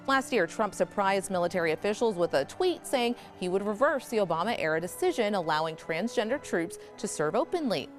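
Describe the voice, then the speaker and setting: A woman anchoring a local morning TV newscast in a studio, reading stories and interviewing a guest in a brisk, clear newscaster manner. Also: medium pitch. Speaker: news anchor. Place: TV news studio.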